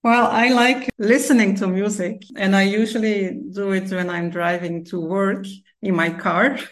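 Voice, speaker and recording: A woman, speaking relaxed and casually as a podcast guest, with frequent fillers. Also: mid-range voice. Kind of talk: podcast interview.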